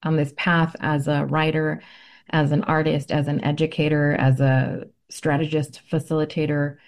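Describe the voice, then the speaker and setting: A middle-aged woman and native Spanish speaker giving reflective interview answers as an educator, speaking in an earnest, deliberate, articulate way. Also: deep voice, level tone. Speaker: ethnic studies educator, interview guest.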